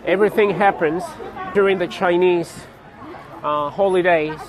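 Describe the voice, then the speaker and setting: A young man from Cameroon chatting casually to his vlog viewers outdoors on a city street, laughing often and pausing with fillers. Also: high-pitched, steady tone, a crowd in the background. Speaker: vlogger. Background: faint street traffic.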